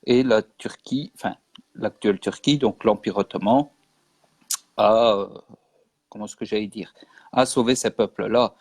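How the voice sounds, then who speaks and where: A man's voice: lowish voice, measured pace, long pauses, no room sound. A man from Belgium, a guest in a casual interview, explaining history earnestly and insisting on points with repetition. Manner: earnest, insistent, repeats for emphasis.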